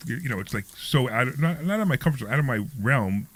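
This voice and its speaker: A man's voice: medium pitch, animated, fast, fairly bright tone. A man in his sixties hosting a talk radio show, rambling and repeating himself in casual chat. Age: 60s.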